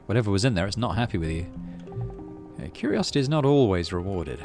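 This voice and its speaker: A man's voice: lowish voice, expressive, fast, over music. A man casually hosting a game playthrough, reading narration aloud and muttering his thinking as he plays. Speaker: gaming channel host.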